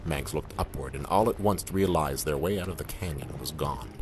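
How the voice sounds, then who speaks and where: An actor as a grave, measured male storyteller, narrating a scripted audio drama. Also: extremely low pitch, noisy background. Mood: grave, descriptive.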